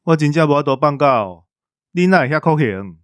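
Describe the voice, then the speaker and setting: Male co-host, Taiwanese accent, high voice, dry close sound, whiny comic banter. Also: expressive, a little fast, a bit bright, clear, resonant tone, no room sound.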